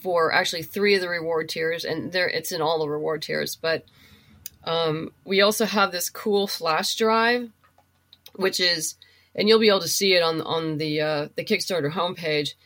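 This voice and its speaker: A woman's voice: on the low side, steady tone, moderate pace, fairly bright tone. A woman talking casually and enthusiastically as a guest in an interview, in a chatty way. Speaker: guest, writer and audio drama producer.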